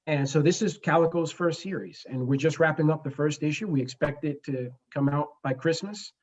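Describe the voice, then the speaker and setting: A man in his forties from the Bronx, a native Spanish speaker, speaking earnestly and haltingly as a guest in a conversational interview. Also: a little high. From the Bronx, New York, United States. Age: 40s. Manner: earnest, halting, frequent pauses mid-phrase. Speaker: guest, comic book creator.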